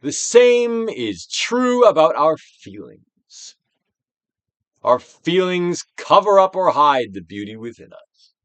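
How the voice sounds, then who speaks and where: A man giving a theatrical, Shakespearean-style dramatic reading of a self-help book, with deliberate pauses. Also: a little high, very animated, no echo. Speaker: audiobook reader, actor.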